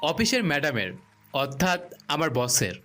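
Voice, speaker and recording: Man acting a lively, high-voiced teasing young husband. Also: normal speed. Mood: defensive. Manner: teasing, argumentative. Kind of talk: audio love-story acting. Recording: music bed behind the voice.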